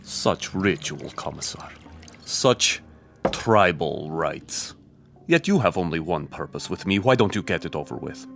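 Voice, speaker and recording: A deep-voiced, defiant hunted major. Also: male voice, lively intonation, a little slow, gravelly, full character voice. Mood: wary, defiant. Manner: terse, wary, sardonic. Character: weary, defiant male major, a hunted defector. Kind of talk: radio drama acting.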